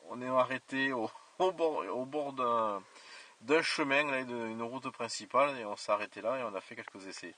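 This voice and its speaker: A man's voice: mid-range voice, normal speed, bright, forceful tone. A man from southern France working as a radio operator, chatting casually with procedural call-and-reply phrasing. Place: outdoors by a roadside. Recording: distant, noisy two-way radio chatter.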